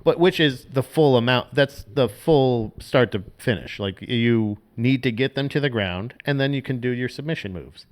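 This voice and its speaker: A man's voice: mid-range voice, normal speed, few pauses. A man co-hosting a podcast in an ordinary mid-range voice. He talks loosely and profanely, restarting sentences as he explains at length. The delivery is casual and conversational. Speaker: podcast co-host.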